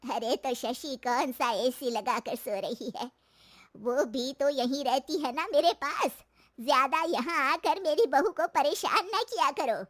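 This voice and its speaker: A blunt old woman, acted by a female storyteller defending her household. She grumbles slowly and curtly, a protective mother-in-law in a cartoon tale. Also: high-pitched, a bit bright. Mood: defensive.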